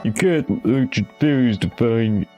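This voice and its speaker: A man giving casual, halting playthrough commentary as the host of a gaming video, rambling as he goes. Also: medium pitch, warm tone, lo-fi sound, soft background music.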